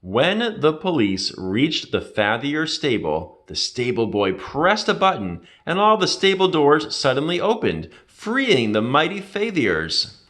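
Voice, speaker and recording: A man narrates a children's storybook with a very lively, swooping intonation. His voice sits at a medium pitch. He leans into the drama with emphatic delivery, as in an expressive read-aloud.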